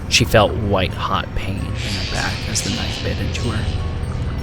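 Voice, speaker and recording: A man narrating a horror story in a dramatic, deliberate and suspenseful way, sometimes hushed. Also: lowish voice. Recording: music behind the voice. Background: music.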